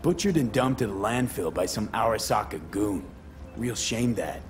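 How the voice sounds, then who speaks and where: A man's voice: lowish voice, lively intonation, moderate pace, faint music behind, natural voice. A man playing a cocky, streetwise young mercenary in a video game, with a clipped, blunt, wry delivery. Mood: sardonic. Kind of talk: dramatic game dialogue.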